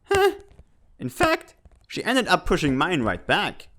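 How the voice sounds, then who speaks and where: A man's voice: sits high, wildly swooping pitch, measured pace, background noise, exaggerated voice. A man voicing a goofy but dutiful bird spy in a comic dub, deferential and colloquial in his speech. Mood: earnest, respectful. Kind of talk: dramatic fan-dub comic acting.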